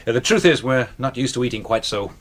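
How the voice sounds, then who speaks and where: An actor playing a plain-spoken American stage magician in a radio drama, polite, hesitant and deferential. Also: male voice, medium pitch, expressive, quick pace, fairly bright tone. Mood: sheepish, frank.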